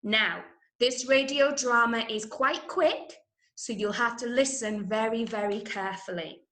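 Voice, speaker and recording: English woman, drama teacher, high voice, very slow emphatic explaining, encouraging, echoey room. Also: a bit bright. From Manchester, England. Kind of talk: online lesson for children. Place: indoor room, online call.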